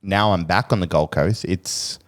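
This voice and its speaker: Deep-voiced Australian man hosting a podcast. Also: unhurried. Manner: relaxed, hesitant, frequent fillers and restarts. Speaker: podcast host. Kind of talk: casual podcast chat.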